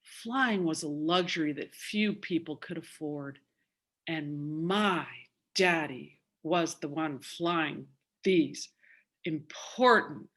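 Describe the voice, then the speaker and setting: A woman in her sixties telling a story theatrically, with deliberate, dramatic emphasis. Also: deep voice, fairly bright tone. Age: 60s. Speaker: storyteller at a speaking club.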